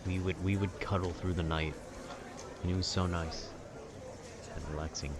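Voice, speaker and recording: Very deep-voiced young man rambling calmly. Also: normal speed, heavy background noise. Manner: calm, informal, wry. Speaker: video creator. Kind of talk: casual rambling monologue about video games.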